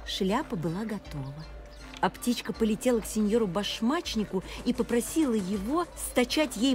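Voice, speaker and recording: A woman reading a fairy tale as an expressive storybook narrator. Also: mid-range voice. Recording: music behind the voice.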